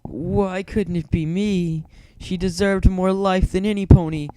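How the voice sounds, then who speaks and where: A grieving female unicorn, as a man puts her on, mumbles in a high voice. Her words are drawn out and sobbing, in a dramatic story reading. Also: steady tone, unhurried, mellow, clear, resonant tone, dry recording, low-quality recording. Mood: grief-stricken. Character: grieving self-blaming female unicorn pony.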